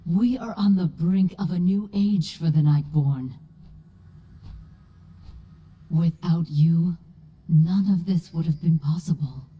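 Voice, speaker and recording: A woman voicing a solemn elven rebel leader in a video game, measured, formal and grave. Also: lowish voice, breathy edge, lo-fi sound. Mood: solemn, resolute.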